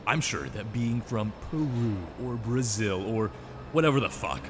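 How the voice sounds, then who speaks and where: A man's voice: medium pitch, expressive, normal speed, over music, natural voice. A man playing an indignant, sarcastic government agency official in a drama, confrontational in manner. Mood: scornful. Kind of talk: dramatic dialogue.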